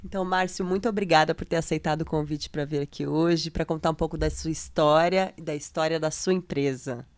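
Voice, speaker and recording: A woman with a slightly low voice hosts a business podcast. She reads her scripted intro in a clear, measured way and then turns to calm interview questions.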